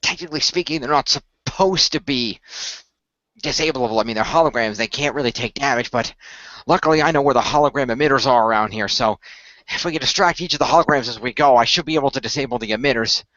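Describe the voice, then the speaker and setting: A man narrating an improvised role-playing story as game master, explaining things in a halting way. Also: medium pitch, pushed and bright, dry recording, dull audio. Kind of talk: improvised storytelling and in-character talk for an audio role-play.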